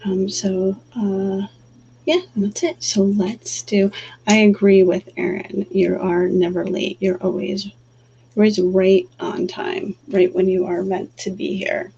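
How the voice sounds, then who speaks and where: A woman hosting a livestream who talks softly and calmly, pausing often with fillers, before leading a guided breathing exercise. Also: medium pitch, gravelly. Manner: soft, calm, halting with many fillers. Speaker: livestream host and energy healer. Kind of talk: casual livestream chat, then guided breathing. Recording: dull lo-fi stream audio with faint hum.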